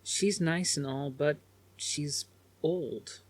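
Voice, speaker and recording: Man voicing a sullen, lonely teenage girl, pitched up. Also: drawn-out words. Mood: exasperated. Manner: miserable, sighing. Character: sullen, lonely adolescent daughter, trying to be brave.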